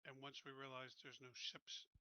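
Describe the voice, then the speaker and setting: A man's voice: mid-range voice, little pitch movement, moderate pace, bright, forceful tone, smooth, dry recording. A man chatting casually as a tabletop game player, reasoning aloud in an informal way.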